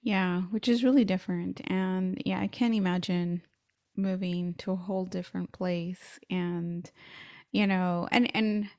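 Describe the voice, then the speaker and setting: Career podcast host: woman, mellow tone, encouraging, dry studio sound. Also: fairly low pitch, steady tone, measured pace, dry recording. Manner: upbeat, encouraging. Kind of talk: scripted intro then casual interview.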